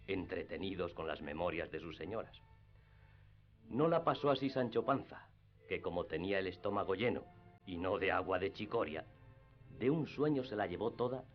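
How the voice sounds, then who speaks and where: A man with a very low voice reads literary narration for a radio drama. Faint music plays behind him, and the recording is slightly muffled.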